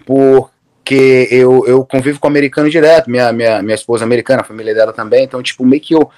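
Brazilian man, 30s, video-call guest, relaxed, rambly with restarts. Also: medium pitch, fast. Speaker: interview guest, MMA coach. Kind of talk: casual video-call interview. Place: room at home, indoors.

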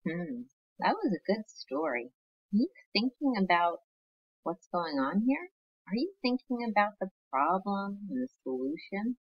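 A woman's voice: medium pitch, very animated, a little slow, breathy edge, muffled recording. A woman teacher reading a picture book aloud to young children, patiently sounding out words.

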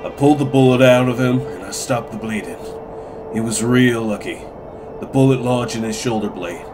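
A low-pitched older farm patriarch, acted by a man, stern and plain-spoken. He speaks over a clear music bed, as in a dramatic comic dub. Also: natural voice.

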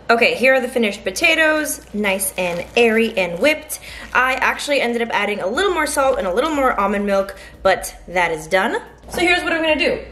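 A chatty, upbeat woman in her twenties talks through her cooking in a casual vlog, her fairly high voice close to the handheld camera. The small room gives her voice a noticeable echo, with a faint hiss of noise underneath. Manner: chatty and upbeat, thinking aloud while cooking. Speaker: vlogger. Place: small home kitchen and apartment indoors. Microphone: handheld camera close to face.

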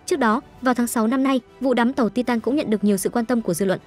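A woman's voice: fairly high pitch, racing, a little mellow. A woman reading scripted entertainment news as a narrator. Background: background music.

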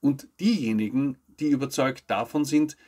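A man, reading scripted news commentary to camera in a measured, formal way. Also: medium pitch, a little mellow. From Austria. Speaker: news show host. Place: indoor studio with plain backdrop.

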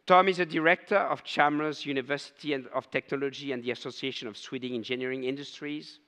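Bright-voiced older host with hall echo. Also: male voice, medium pitch, steady tone. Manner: measured, ceremonial. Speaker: conference host introducing speaker. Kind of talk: formal speaker introduction. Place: large conference hall stage. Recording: long hall reverb.

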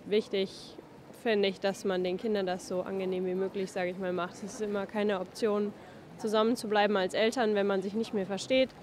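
A woman's voice: mid-range voice, little pitch movement, a little fast, a little mellow. A young woman answering a street interview outdoors, thoughtful and hedging with fillers. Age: young adult. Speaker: passer-by interviewee.

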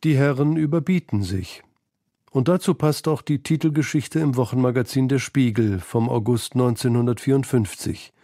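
Man, scripted feature narration, soft dark timbre, composed, articulate, dry studio sound. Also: mid-range voice, normal speed, no room sound. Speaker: documentary narrator.

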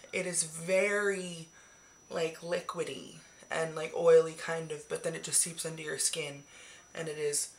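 A young woman in her twenties talks through her makeup favorites in a rambling, casual way, still hoarse from the flu. Her voice sits a little low and breaks into gravelly fry. She is recorded on a webcam in a small bedroom with a faint hiss behind her. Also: a bit bright. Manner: chatty, swears casually, rambling. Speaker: beauty vlogger. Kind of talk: casual monthly favorites chat. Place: small decorated bedroom, indoors. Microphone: webcam at arm's length.

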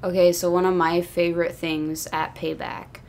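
Low-pitched woman chatting on a podcast. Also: little pitch movement, normal speed, vocal fry, darkish tone, a little hiss or hum. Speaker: wrestling podcast co-host. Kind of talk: casual podcast chat.

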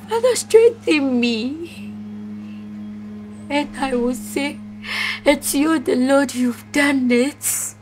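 A woman playing a gravely ill young woman who pleads tearfully and keeps calling her brother's name. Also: high-pitched. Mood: prayerful. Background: soft music.

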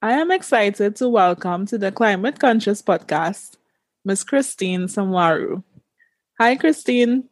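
A woman speaks as a podcast host. Her pitch is medium and her delivery is that of a prepared presenter. The sound is close and dry, with little room in it. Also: animated, a little slow, no echo. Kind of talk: scripted introduction and interview questions.

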